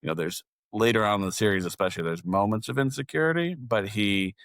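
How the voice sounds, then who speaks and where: Man, podcast co-host, very low register, informal rambling phrasing, echo-free recording. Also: quick pace, fairly bright tone, clean studio sound. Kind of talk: casual podcast discussion.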